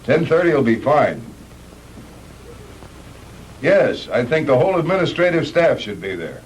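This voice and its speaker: A man playing a calm, frank middle-aged hospital doctor in a measured, matter-of-fact 1960s B-movie style. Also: medium pitch. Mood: businesslike. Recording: noisy old 1960s film soundtrack.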